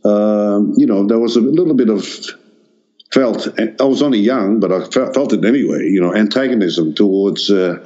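Halting older Dutch-born man, low mellow voice. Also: highs cut off. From Netherlands. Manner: hesitant, many restarts and fillers. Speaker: artist guest. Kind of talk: interview answers.

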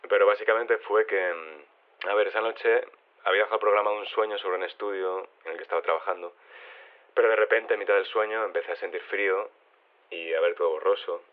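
A deep male voice on a narrow telephone line. He plays a shy, body-swapped interviewee who speaks haltingly and plainly, with understated delivery. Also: steady tone. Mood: hesitant, matter-of-fact. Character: shy woman living inside a man's body. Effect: telephone filter. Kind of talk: naturalistic phone interview in audio drama. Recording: narrow, tinny phone line.

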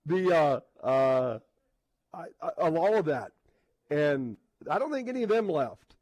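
A man hosting radio sports talk, thinking aloud in a casual, halting, rambling way with repeated words. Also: fairly high pitch, sing-song, a little mellow, no room sound, highs cut off. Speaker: sports radio host, live on location.

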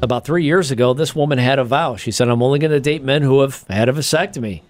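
A man's voice: medium pitch, fast, soft background music. A man co-hosting a casual comedy podcast, reading out tips and facts with wry, offhand asides and casual swearing.

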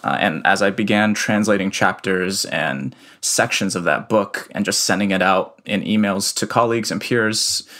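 A young man with a low voice talks through his work in a calm, measured way, pausing on fillers as he explains. He is from the United States and speaks from home in a remote interview. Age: young adult. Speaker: guest, researcher.

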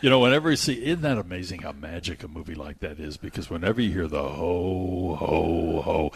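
A man's voice: sits low, animated, fairly quick, vocal fry, a little hiss or hum. An older man hosting talk radio, chatting casually in a rambling, anecdotal way. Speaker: radio host.

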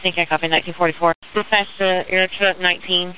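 A woman's voice: fairly low pitch, steady tone, fast talker. A woman working as an emergency dispatcher, speaking in clipped, polite procedural phrases over two-way radio. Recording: tinny radio link, faint noise.

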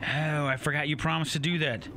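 A man's voice: fairly high pitch, fairly even intonation, brisk, close to an everyday voice. A man playing a chatty small-town friend in a radio play, prodding with questions over noisy background ambience. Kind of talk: naturalistic radio play acting.

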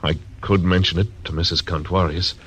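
An actor playing a laconic, stubborn frontier marshal who narrates, in 1940s radio acting. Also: male voice, deep voice, steady tone, a little fast, fairly bright tone, slightly rough. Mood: steady. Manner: terse, dry. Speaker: narrator and lead. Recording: old radio recording with music.

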